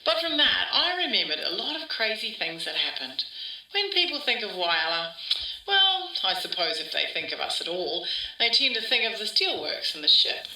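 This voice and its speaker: A woman's voice: medium pitch, big pitch swings, normal speed, pushed and bright, full character voice. A woman playing an older woman who rambles wistfully through childhood memories in a conversational, interview-style podcast drama. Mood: reminiscing. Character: wistful older Australian woman reminiscing about her small-town childhood. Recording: roomy echo, distant from mic.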